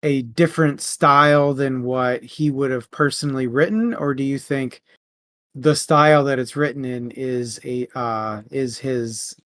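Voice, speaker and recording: A man in a casual book discussion, informal and halting as he searches for his words. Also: mid-range voice, a bit bright, dry recording, clean studio sound.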